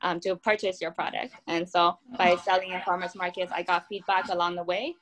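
Young woman brand founder on a video call, lowish voice, upbeat and explanatory. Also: little pitch movement, a little fast, a bit bright, rough recording. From Taiwan. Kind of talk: video call panel answer. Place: home room.

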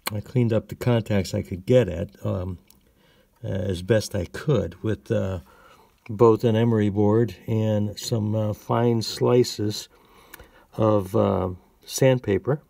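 A man casually narrating a hands-on repair as a hobbyist, hesitant and pausing often, recorded on a handheld phone. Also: on the low side, fairly even intonation, gravelly, mellow, no room sound, clean studio sound. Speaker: hobbyist radio restorer.

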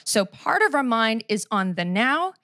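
A woman in her thirties with a Canadian accent, preaching on a church stage into a handheld mic, conversational and self-deprecating, slowing down to read scripture. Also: fairly high pitch, sing-song, pushed and bright, no room sound, clean studio sound. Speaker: pastor. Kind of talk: sermon. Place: church stage before a seated audience. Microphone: handheld microphone.

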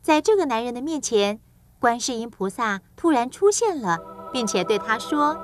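A woman's voice: fairly high pitch, sing-song, moderate pace. A woman reading scripted narration for a children's storybook tale. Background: music.

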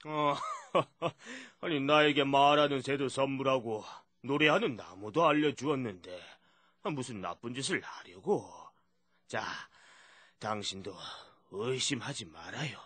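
거칠고 힘찬 위엄 있는 임금님. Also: male voice, medium pitch, unhurried, fairly bright tone, breathy edge, no room sound. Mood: stately, reassuring. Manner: stately, old-fashioned royal speech. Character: trusting, dignified middle-aged king and father. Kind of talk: children's puppet show voice acting.

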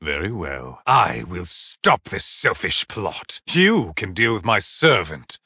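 An actor playing an ancient, brooding male vampire lord in a video game, gravelly, haughty and formal, with menace and a vengeful temper. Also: low voice, sing-song, takes it slowly, bright, forceful tone, no room sound, studio quality, heavily acted. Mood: grim, imperious. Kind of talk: theatrical video game character voice lines.

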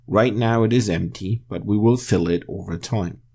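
A man presenting a software tutorial as screencast narration, explaining step by step in a measured way. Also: on the low side, vocal fry, slightly rough.

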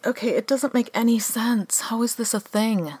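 A woman's voice: on the high side, steady tone, moderate pace, darkish tone, breathy edge, no echo. A woman playing a skeptical, sarcastic reluctant helper in a comedic radio play. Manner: questioning, sarcastic.

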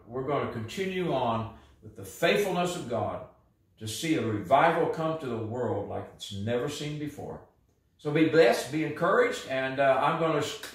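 Upbeat older pastor in an echoey room. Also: male voice. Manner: upbeat, earnest, preacherly with lively swings in pitch and pace. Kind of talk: encouraging video message to his church. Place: living room in front of a fireplace, indoors. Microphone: camera a few feet away, no visible mic. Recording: echoey room, voice a bit far from the mic.

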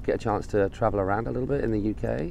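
A man's voice: lowish voice, steady tone, racing, gravelly, darkish tone, a little hiss or hum. A man hosting a wakeboard vlog, chatting easily and enthusiastically with riders at an outdoor table by a lake. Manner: easygoing, enthusiastic, asks riders questions. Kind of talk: casual chat and voice-over narration. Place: outdoor table at a lakeside water park.

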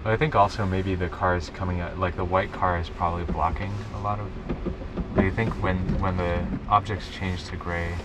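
A low-pitched man talks in an easy, halting way, full of fillers, as he rides along. Heavy road and rain noise rumbles under his voice inside the car. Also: fairly even intonation. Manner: hesitant, filler-heavy musing. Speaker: vlogger riding in a driverless car. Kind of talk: casual commentary. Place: back seat of a moving car in the rain. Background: loud road and rain noise.

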